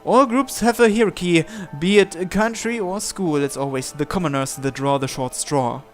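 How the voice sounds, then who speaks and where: A man's voice: on the high side, moderate pace, soft background music. A man reading visual novel narration and dialogue aloud.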